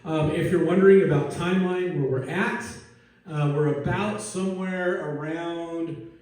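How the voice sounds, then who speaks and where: Pastor with a higher, mellow voice. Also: male voice, unhurried, far from the mic. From Netherlands. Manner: casual, self-deprecating, asks the congregation questions. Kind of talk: conversational sermon. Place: church sanctuary. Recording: echoey church hall from afar.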